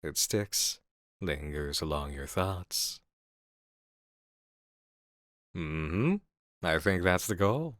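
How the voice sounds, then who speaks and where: A man playing an intense, seductive horror game designer in an intimate ASMR roleplay, speaking in deliberate, drawn-out phrases on a close mic. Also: sits low, expressive, a bit bright, no echo, exaggerated voice. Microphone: close studio mic.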